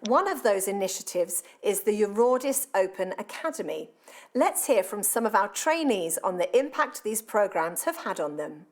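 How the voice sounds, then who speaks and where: A woman's voice: mid-range voice, big pitch swings, moderate pace. A woman hosting an online awards ceremony, reading her script with an upbeat tone. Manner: upbeat, reads out messages.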